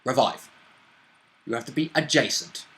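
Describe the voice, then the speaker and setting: A man's voice: medium pitch, animated, moderate pace, bright, forceful tone. A man chatting casually as a player in a tabletop roleplaying game, chipping in on the recap.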